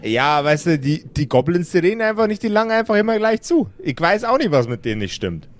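A man's voice: a little high, sing-song, brisk. A man voicing a grumpy, bossy undead necromancer in an improvised audio play, rambling and blustering in colloquial speech. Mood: exasperated. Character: grumpy, foul-mouthed undead necromancer lord, male, casually bossy.

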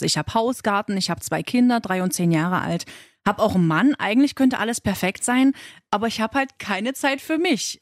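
Female co-host, thirties, chatty and colloquial, medium pitch, dry studio-like sound. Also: no room sound. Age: 30s. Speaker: co-host, fitness beginner and working mother. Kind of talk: casual conversational podcast talk.

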